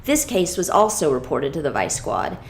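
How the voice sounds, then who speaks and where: A woman with a fairly low voice delivers a scripted exposé as dramatic narration. Her delivery is deliberate and emphatic, with a rhetorical edge. The recording carries clear room echo around her words. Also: very animated. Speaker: reader of a memoir exposé. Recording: echoey room sound.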